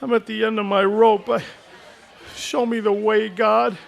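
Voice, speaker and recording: A man's voice: sits high, little pitch movement, a little slow, creaky, full character voice. An actor playing a desperate, frazzled family man who stammers under strain in a radio play. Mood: pleading. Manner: agitated, stammering.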